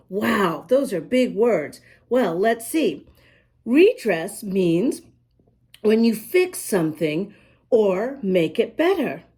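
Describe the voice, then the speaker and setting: A woman's voice: medium pitch, darkish tone. A woman reading a storybook aloud to young children, explaining as she goes in an emphatic, sing-song manner. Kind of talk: expressive read-aloud to young children with asides.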